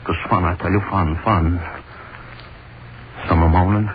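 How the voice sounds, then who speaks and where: A cruel, money-hungry executioner, as a male actor plays him, talking in a very deep, low voice that gloats and broods by turns. The sound is a thin, phone-narrow 1930s radio broadcast with faint hiss beneath it. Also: fairly even intonation, moderate pace, natural voice. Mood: gloating. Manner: gloating, brooding, lingers on words. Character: obsessive middle-aged male hangman, gloating and cruel. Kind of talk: 1930s radio drama acting, first-person monologue.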